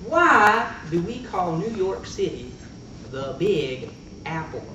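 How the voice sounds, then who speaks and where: A man's voice: high voice, sing-song, takes it slowly, bright, forceful tone, dull audio. A man telling a comic tall tale to a live audience in a folksy country manner with rustic slang. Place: meeting room before an audience. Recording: echoey, noisy room recording from far off.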